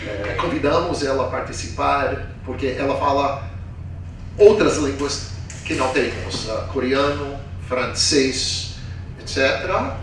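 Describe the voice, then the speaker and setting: Echoey, distant man presenting informally. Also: mid-range voice. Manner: halting, with repeated tag questions. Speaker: professor presenting students. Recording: very echoey room, distant mic, faint noise.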